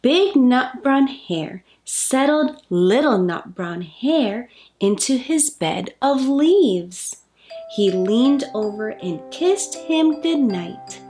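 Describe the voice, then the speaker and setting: An animated woman reads aloud for young children at an unhurried pace. Her delivery is sing-song and expressive, addressing little listeners directly, with music underneath. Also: a little high. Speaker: host of children's storytime.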